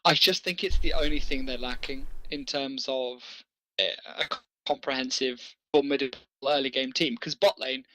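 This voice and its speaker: Bright-voiced male esports caster. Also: mid-range voice, fairly even intonation, moderate pace, no echo, poor audio. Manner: enthusiastic, analytical, chuckles often. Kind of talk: casual game commentary.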